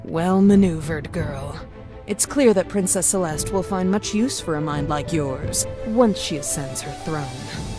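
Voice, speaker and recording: A woman's voice: low voice, measured pace, pitched below the performer's own voice. A woman voicing a shrewd, wry head of a magic academy in a visual novel, formal and authoritative. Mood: wry approval. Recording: loud background music.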